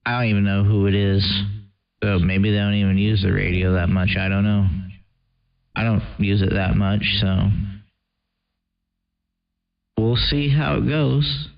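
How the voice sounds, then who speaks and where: A man's voice: on the low side, fairly even intonation, a little slow, gravelly, long pauses. An American man chatting casually and laconically over CB radio in a laid-back way. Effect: CB radio transmission. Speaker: CB radio operator. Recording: muffled, noisy CB radio with clicks.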